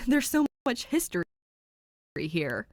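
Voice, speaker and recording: A woman's voice: a little high, very animated, slow pace, a little mellow, dry recording, clean studio sound, voice pushed up. A woman voicing a flustered young man who stammers and rambles as he pleads over the phone. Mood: pleading.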